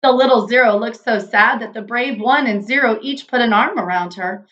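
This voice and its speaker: A woman narrating a children's storybook in an expressive read-aloud style. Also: on the high side, moderate pace, dull audio.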